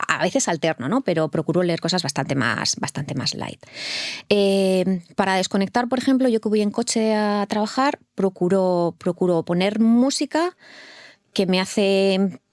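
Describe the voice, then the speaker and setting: A woman's voice: lowish voice, darkish tone, dry recording, clean studio sound. A middle-aged woman from Madrid, a fertility nurse giving thoughtful interview answers and often repeating words as she searches for them. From Madrid, Spain.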